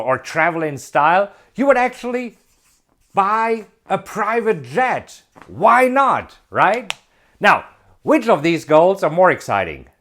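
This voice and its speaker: Emphatic, lively-voiced man in his forties. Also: sits high. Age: 40s. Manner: emphatic, rhetorical questions, builds excitement. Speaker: self-help video presenter. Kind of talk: motivational talk to camera.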